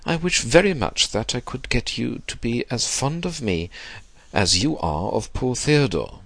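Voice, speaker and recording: A lonely, effusive old gentleman from a storybook, acted out by a man, cajoles and pleads theatrically. His husky voice leaps up and swoops about with great animation. He sounds exclamatory and needy. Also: mid-range voice, lively intonation, unhurried, no echo, pitched above the performer's own voice, heavily acted. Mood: coaxing. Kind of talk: storybook character dialogue.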